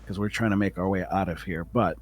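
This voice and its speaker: A man's voice: sits low, steady tone, fast talker. A man playing a tabletop game, talking casually in character. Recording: faint music behind.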